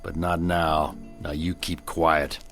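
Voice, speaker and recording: Radio Western lawman: stern, firm, deep American voice, weary authority, music underneath. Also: flat delivery, slow, fairly bright tone, exaggerated voice. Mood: stern, commanding. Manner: gruff, firm, contemptuous. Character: stern, weary Old West sheriff. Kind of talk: radio drama acting.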